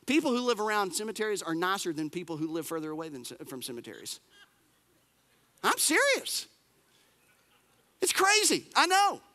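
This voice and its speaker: A pastor delivers a sermon in a high, bright voice. He preaches with lively emphasis, repeating phrases and dropping casual asides. His voice rings through a hall with a long reverb. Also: normal speed, pauses often. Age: 40s.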